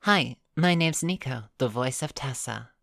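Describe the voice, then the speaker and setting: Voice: medium pitch, expressive, measured pace, creaky, a bit bright, dry recording, clean studio sound. A voice actor giving a friendly sign-off after the episode. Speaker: voice actor outro.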